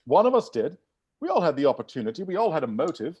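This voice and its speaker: A man playing a grave, formal butler in a comedy table read, with a husky voice and declamatory delivery. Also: a little high, big pitch swings, fast, a little mellow, lo-fi sound, slight background noise. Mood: urgent. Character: grave, composed butler presiding over murder suspects. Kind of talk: live table read of comedy film script.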